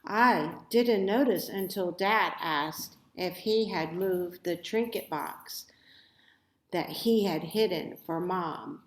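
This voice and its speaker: A woman reading a children's story aloud with expressive, deliberate phrasing in a roomy, echoey recording. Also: on the low side. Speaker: storybook reader.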